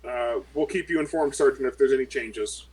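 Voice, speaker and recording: A man's voice: medium pitch, brisk, slightly rough, rough recording. A man playing a tabletop role-playing game who retells his character's lines in a chatty way, with many fillers. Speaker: player. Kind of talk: in-character tabletop play over voice chat.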